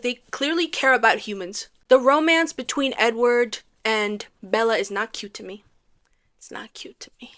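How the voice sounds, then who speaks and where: Young female vlogger, animated and sarcastic, slightly high-pitched, comedic rant. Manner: animated, sarcastic, ranting with rhetorical questions. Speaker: movie review vlogger. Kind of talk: casual comedic movie review.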